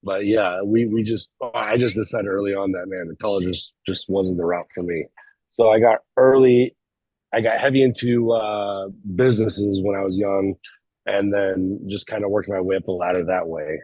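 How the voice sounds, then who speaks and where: Husky low-voiced easygoing man. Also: fairly even intonation, normal speed, darkish tone, no room sound, rough recording. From California. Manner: easygoing, laughs often. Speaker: guest, documentary filmmaker. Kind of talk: casual interview chat.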